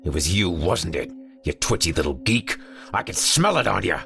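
A man voicing a gruff, hostile male bear detective who talks bluntly and threateningly. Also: low-pitched, sing-song, normal speed, bright, forceful tone, faint music behind, heavily acted.

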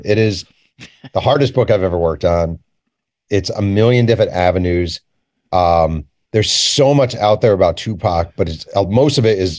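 A man's voice: low-pitched, a little mellow, no room sound, studio quality. A man speaking as an interview guest, candid and colloquial, repeating phrases for emphasis. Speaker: author and journalist guest. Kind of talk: casual conversational interview.

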